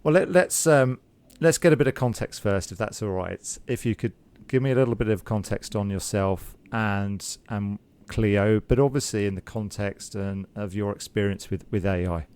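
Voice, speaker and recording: A man hosts a podcast interview in a fairly low-pitched voice. He delivers a prepared welcome, then asks his questions with frequent ums and repeated words. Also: moderate pace, a little mellow, smooth. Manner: scripted intro, then hesitant questions with repeats. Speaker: podcast host.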